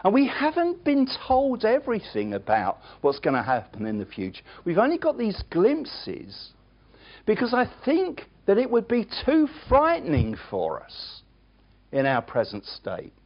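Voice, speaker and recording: Preacher, sermon delivery, very lively pitch swings, unhurried, on a dull lo-fi recording. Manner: emphatic preaching with chatty asides.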